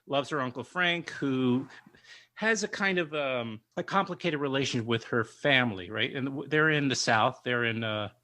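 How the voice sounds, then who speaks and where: Guy hosting a podcast on a video call, bright tense voice, rambles and restarts his questions a lot. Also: mid-range voice, moderate pace. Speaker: podcast host. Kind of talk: casual interview chat.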